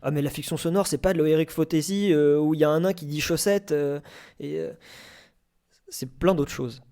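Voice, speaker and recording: A man hosting a casual live podcast debate, speaking in hesitant, halting phrases. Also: fairly high pitch, dark tone. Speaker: podcast host.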